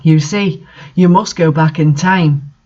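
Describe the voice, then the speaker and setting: A man's voice: on the high side, slow, darkish tone, lo-fi sound. A man voicing a grave female fortune-telling professor who instructs solemnly in a story reading.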